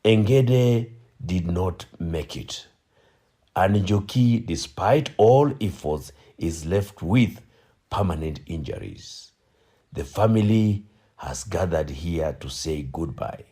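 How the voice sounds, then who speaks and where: A man's voice: deep voice, lively intonation, takes it slowly, warm tone, breathy edge, full character voice. A man playing a grave narrator, solemn and theatrical, delivering scripted radio drama narration. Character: grave, dramatic male narrator of a road tragedy.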